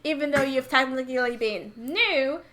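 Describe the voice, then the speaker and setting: A woman streaming a game, reading its text aloud and chatting in an expressive, giggly way. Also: high voice, normal speed. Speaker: streamer playing a dating sim.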